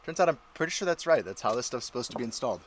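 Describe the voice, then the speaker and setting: A man's voice: mid-range voice, expressive, very fast, slight background noise. A man in his thirties casually narrating a home-build vlog, explaining technical details in an upbeat way, filmed on a close handheld camera. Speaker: DIY home-build vlogger. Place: attic, outdoor deck and indoor rooms of a barn home. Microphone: handheld action camera close up.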